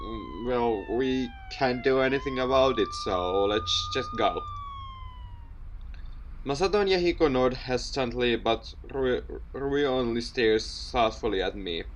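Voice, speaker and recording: A man's voice: mid-range voice, huge pitch swings, a little slow, slight background noise. A man hosting a let's play, reading visual novel text aloud with commentary and often tripping over words and repeating them. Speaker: gaming video host.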